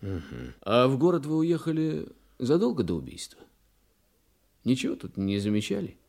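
A courteous Soviet investigator in a radio play. His voice sits in a middle register with a lively range, and his questioning is measured, quietly ironic and persistent. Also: medium pitch, gravelly. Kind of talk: Russian radio play acting.

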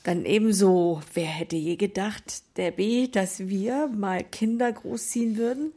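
A frank, devout middle-aged mother in a radio play. Her voice is husky with a creaky edge, and she talks expressively and plainly. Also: medium pitch, measured pace, warm tone. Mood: amused, nostalgic. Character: frank ultra-Orthodox mother of a big family.

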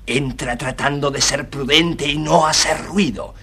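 Bright, husky, clever fox. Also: male voice, a little high, measured pace, heavily acted. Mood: encouraging, warning. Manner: sly, instructive, emphatic. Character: clever, bossy, helpful fox guiding a prince. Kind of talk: recorded children's storybook dramatization.

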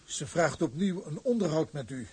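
Husky, deferential office clerk. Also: male voice, a little high, animated, moderate pace, mellow. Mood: dutiful. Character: deferential, orderly office clerk reporting to his boss.

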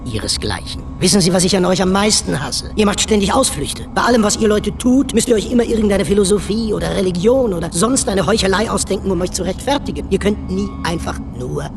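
A man's voice: high-pitched, lively intonation, fast talker, vocal fry, few pauses, crowd noise. An actor playing a misanthropic artificial intelligence villain in male guise, condescending and sardonic, in a radio drama. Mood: contemptuous.

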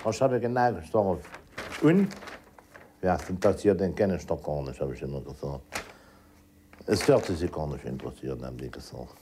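Man, animated swooping intonation, agitated and repetitive, casual recollection, faint noise. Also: a bass voice, a little fast, pauses often. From East Germany. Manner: agitated, repeats himself, acts out remembered exchanges. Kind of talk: emotional personal recollection in casual talk.

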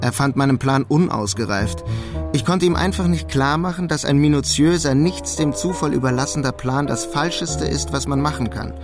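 An actor playing a wry thief who narrates his own heist in a German radio crime drama, measured and deadpan. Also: a little high, music in the background, close to an everyday voice.

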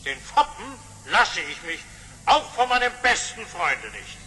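An actor playing an imperious sultan with a pompous, commanding delivery in an audio play. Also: male voice, high-pitched, very animated, unhurried, pushed and bright, exaggerated voice. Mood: menacing, amused. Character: imperious, threatening yet jovial sultan ruler. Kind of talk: German audio-play acting. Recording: long hall reverb.

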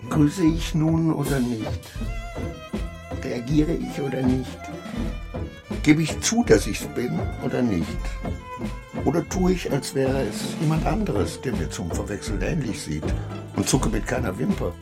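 An actor playing a nervous, vain petty official who talks to himself in an agitated, self-justifying way in a radio play. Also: male voice, mid-range voice, lively intonation, measured pace, music in the background. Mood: flustered panic. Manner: agitated, self-justifying, swinging between muttering and outbursts. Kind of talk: radio play acting, inner monologue.

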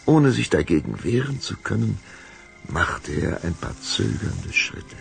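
A man's voice: low-pitched, big pitch swings, unhurried, gravelly, faint music behind, exaggerated voice. A man narrating a children's vampire audio drama as a dramatic, suspenseful storyteller.

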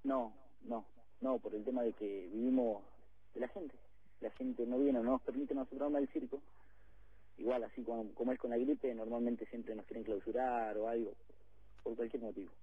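Young argentine guy on the phone, husky voice, tinny line, plain talk. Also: mid-range voice, fairly even intonation, normal speed. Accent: Rioplatense Spanish. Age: 20s. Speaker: caller, circus performer. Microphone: telephone.

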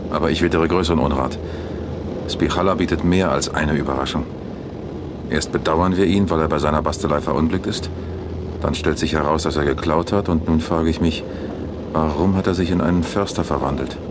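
An actor playing a methodical, courteous East German police captain in a radio crime drama, measured and pondering. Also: male voice, extremely low pitch, background noise. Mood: pondering, suspicious.

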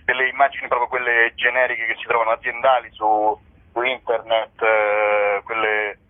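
A man's voice: medium pitch, monotone, moderate pace. A man in a casual interview as a theatre comedian, talking in a hesitant, wry, rambling way. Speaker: theatre comedian and writer presenting a show. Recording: narrow-band telephone line, radio interview.

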